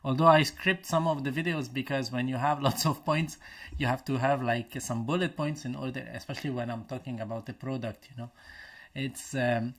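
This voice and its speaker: Man, from Lebanon, Arabic native, live stream host, casual chat, hesitant phrasing, video call, average pitch. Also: steady tone, moderate pace. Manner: hesitant, restarts while searching for English words. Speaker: channel host.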